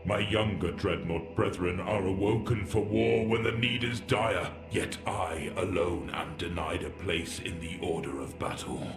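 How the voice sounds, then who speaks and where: A man's voice: deep voice, measured pace, few pauses. A man playing an ancient, bitter warrior entombed in a war machine, speaking in grand, archaic, rambling monologue for a sci-fi audio drama. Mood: weary, aggrieved. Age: older. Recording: echoing voice over background music.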